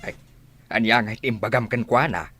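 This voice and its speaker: A man's voice: lowish voice, normal speed, pushed and bright. A man with an Ilocano accent playing a gossipy, disapproving village uncle in a comedy radio drama. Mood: nosy, disapproving.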